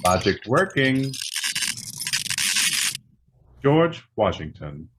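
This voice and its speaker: An actor voicing an impassive talking computer in a radio play, announcing formally and deliberately. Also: male voice, mid-range voice, big pitch swings, noisy background.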